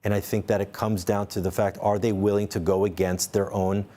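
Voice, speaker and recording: Low-voiced man, halting and emphatic. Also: little pitch movement, mellow. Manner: repeats words while searching for them, then speaks emphatically. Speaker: interview guest. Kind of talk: unscripted interview talk. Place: studio.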